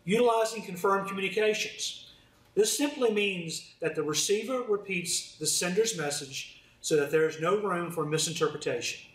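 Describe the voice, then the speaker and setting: A man's voice: sits high, moderate pace. A man giving a formal, scripted training presentation as a fire department instructor. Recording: roomy, distant mic, faint noise.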